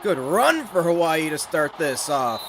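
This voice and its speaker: A man's voice: fairly high pitch, big pitch swings, unhurried, background noise. A young American man chatting casually with his live-stream audience while calling plays in a football video game. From United States. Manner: chatty, upbeat, greets chat and calls plays. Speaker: gaming streamer. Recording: game music playing behind voice. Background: video game music.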